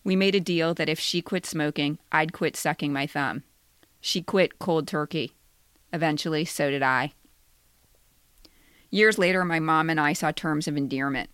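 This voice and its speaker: A middle-aged American woman reading a scripted personal story in a measured way, in short plain sentences. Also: low-pitched, a bit bright, clear, resonant tone, dry recording, studio quality. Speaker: podcast co-host reading their own essay.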